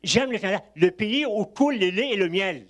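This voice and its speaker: Older man, guest preacher, high voice, lively rising and falling delivery, anecdotal sermon. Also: normal speed. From Egypt. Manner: warm storytelling with asides and chuckles.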